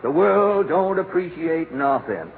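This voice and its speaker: An actor playing a stubborn, grumbling old Santa Claus with folksy, ungrammatical speech in a 1940s radio comedy. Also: male voice, on the high side, sing-song, measured pace, vocal fry, exaggerated voice. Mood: grumpy, stubborn. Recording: tinny, noisy 1940s radio recording.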